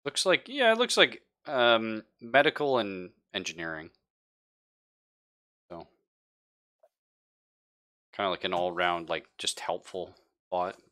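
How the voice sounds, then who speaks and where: A man's voice: low voice, lively intonation, slow pace, pauses often, sharp tone. A man running a tabletop game as game master, chatting casually with the players.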